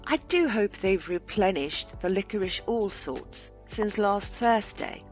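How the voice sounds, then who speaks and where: A woman voicing an eager, genteel gentleman in a storybook reading. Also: mid-range voice, expressive, slow pace, faint music behind. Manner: genteel, muttering. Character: eager polite sweet-loving gentleman in fine suit.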